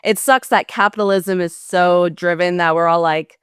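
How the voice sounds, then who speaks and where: A woman's voice: on the low side, steady tone, moderate pace, smooth, dry recording, clean studio sound. A young adult woman hosting a podcast interview in casual, informal chat, affirming what her guest says. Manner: informal, validating. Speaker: podcast host.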